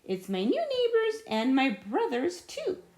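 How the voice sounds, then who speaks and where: A woman puts on a little boy pig from a storybook, friendly and excitable. The voice is pitched high and bounces along in a sing-song, exclaiming way. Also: unhurried, echoey room, exaggerated voice. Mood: excited. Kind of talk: children's storybook character voice.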